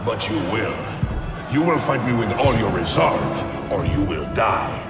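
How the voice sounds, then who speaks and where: An actor playing a grim, imposing mercenary villain in a video game, speaking with formal, deliberate menace. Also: male voice, on the low side, very animated, over loud music. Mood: cold menace. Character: grim, imposing male mercenary supervillain, coldly commanding.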